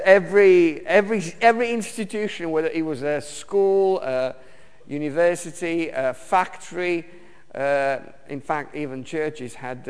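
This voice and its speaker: A man from Romania, preaching a personal testimony sermon in a halting way, with many fillers and restarts. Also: a little high, smooth. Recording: long reverb of a hall.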